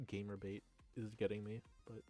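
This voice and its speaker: A man's voice: on the low side, monotone, moderate pace, warm tone, faint music behind. Musing man narrating his game. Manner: musing, thinks aloud with restarts. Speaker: let's play gamer. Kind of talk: casual game commentary.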